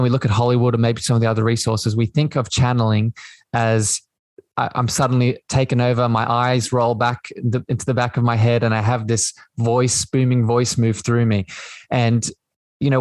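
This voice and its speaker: A man's voice: mid-range voice, no echo. A man hosting a spiritual podcast, talking in a reflective way and often checking in with "right?". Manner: reflective, tags thoughts with "right?". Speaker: podcast host. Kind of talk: spiritual conversation.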